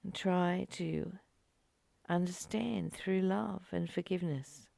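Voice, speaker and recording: Woman doing a reflective spiritual reading, gentle n earnest, really slow, gravelly fry in the voice. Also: lowish voice, no echo. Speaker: spiritual study teacher. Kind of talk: reflective spiritual teaching, reading passages and commenting.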